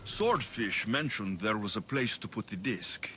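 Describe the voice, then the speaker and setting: An actor playing a steady, confident Ancient Greek mercenary hero in a video game cutscene. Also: male voice, lowish voice, a little slow, a little hiss or hum. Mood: matter-of-fact.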